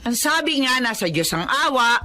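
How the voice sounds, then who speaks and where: A woman's voice: fairly high pitch, big pitch swings, moderate pace, pushed and bright, slight background noise. An actress playing a nagging, scolding middle-aged mother bent on marrying off her grown daughter, in an audio drama. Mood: scolding, insistent. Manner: scolding, insistent. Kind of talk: dramatized life-story audio drama acting.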